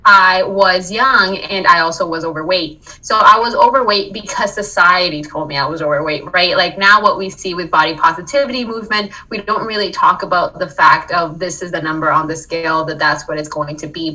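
A woman's voice: fairly low pitch, a little fast, hardly any pauses, bright, forceful tone, far from the mic, rough recording. A woman from Colombia, a wellness coach, telling her story earnestly in a casual interview, often checking in with "right?". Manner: earnest, reflective, frequent "right?" and laughs. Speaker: podcast guest, wellness coach.

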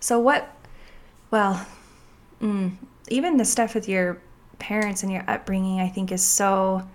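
A woman's voice: medium pitch, fairly even intonation. A woman hosting a podcast interview, curious and eager, asking follow-up questions in a guest's home. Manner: curious, eager, quick asides. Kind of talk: conversational interview. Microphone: table microphone.

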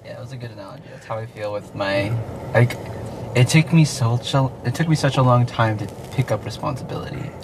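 A man's voice: medium pitch, steady tone, brisk, background noise. A man hosting a casual faith podcast, talking hesitantly with laughs and trailing-off sentences. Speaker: podcast host.

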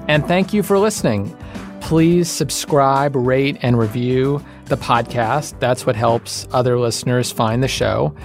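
A male podcast co-host reading the closing credits in a measured way. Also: mid-range voice, gravelly, faint music behind.

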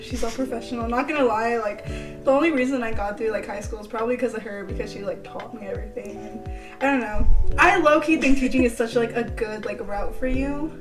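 Chatty giggly young woman, slightly high voice. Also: fairly quick, far from the mic, over music. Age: 20s. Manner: chatty, giggly, filler-heavy. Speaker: podcast host. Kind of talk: casual chat.

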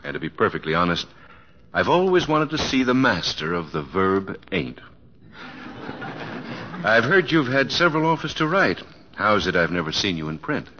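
Stately, earnest older president, lowish pitch, 1950s radio drama, muffled with faint noise. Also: male voice, big pitch swings, sharp tone. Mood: gracious, amused. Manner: measured, formal. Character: dignified older US president, gracious, earnest, professorial. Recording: dull noisy vintage radio recording.

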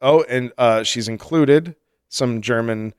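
A man's voice: mid-range voice, slow pace, clear, resonant tone, dry recording, clean studio sound. A man co-hosting a comedy podcast, reading listener mail aloud in a casual, joking way and laughing often. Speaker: podcast co-host.

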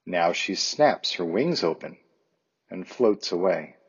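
A man reciting poetry for listeners in a measured, contemplative manner. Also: low-pitched, lively intonation, husky, dull audio. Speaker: volunteer poetry reader, teacher.

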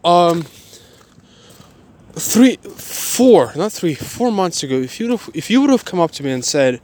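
A man of twenty-one giving a motivational personal monologue in a halting, repetitive, emphatic way. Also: sits high, very animated, a little mellow.

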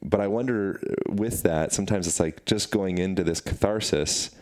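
A man's voice: sits low, normal speed, darkish tone. A man co-hosting a casual conversation, rambling and thinking aloud with fillers.

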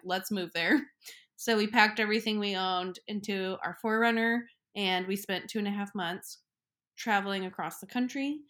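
A woman's voice: mid-range voice, fairly bright tone. Young woman guest, reflective, chatty storyteller, casual podcast talk. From Ecuador. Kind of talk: casual chat.

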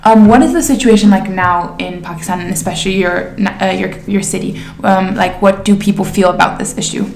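A woman's voice: medium pitch, a little fast, creaky, hardly any pauses, darkish tone, echoey room, a little hiss or hum. A woman hosting a podcast interview, introducing a guest and asking questions politely. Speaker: podcast host.

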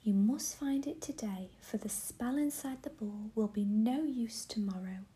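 A woman voicing a gracious, commanding fairy queen in a soft whisper. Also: fairly high pitch, a little slow, slightly rough. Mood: stately. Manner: whispered, stately.

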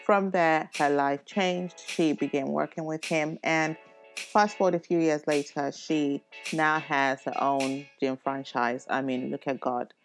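A woman hosting a podcast, chatting casually with a guest, quick with laughs and rising questions. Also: low voice, steady tone, soft background music. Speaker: podcast host.

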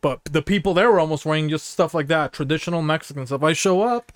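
A young man with a high voice rattles off jokes at a quick clip, padding his lines with "you know what I mean". He sounds like a podcast co-host in loose banter. The mic is close and dry. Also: no room sound. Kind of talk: casual banter.

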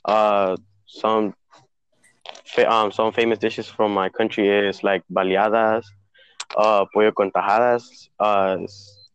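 A man's voice: fairly low pitch, little pitch movement, slow pace, clear, resonant tone, dull audio. A young man from Honduras co-hosting a casual podcast chat, rambling with many fillers and slipping into Spanish words. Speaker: podcast co-host.

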